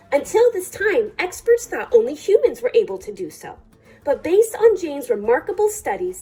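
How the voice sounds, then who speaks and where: Female storytime reader, high and very melodic, soft-toned, deliberate phrasing, faint music underneath. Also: poor audio. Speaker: librarian reading a picture book aloud. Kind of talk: children's storytime read-aloud.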